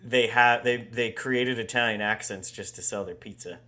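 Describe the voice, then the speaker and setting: A man's voice: mid-range voice, fairly even intonation, normal speed, bright, forceful tone. A man co-hosting a podcast in casual chat, rambling, swearing and laughing often. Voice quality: ginger-haired dog owner talk, married. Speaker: podcast co-host.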